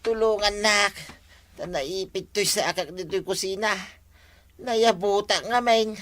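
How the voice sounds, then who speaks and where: A woman's voice: medium pitch, unhurried, a bit bright, natural voice. A woman with an Ilocano accent voicing an injured husband, whining in pain, in a comedy drama. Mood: pained, pleading.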